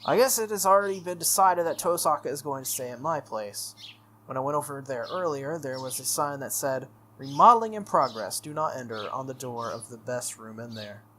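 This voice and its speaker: A man hosting a game playthrough, reading a visual novel aloud in an animated way with casual asides. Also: mid-range voice, brisk, a little hiss or hum. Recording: music playing behind the voice. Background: game music.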